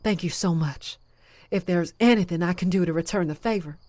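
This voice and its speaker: Actress as female outlaw, lowish voice, dry close sound, plain folksy speech, humbled and loyal, fantasy drama. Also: fairly quick, darkish tone, no room sound, natural voice. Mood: worried, grateful. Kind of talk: fantasy audio drama acting.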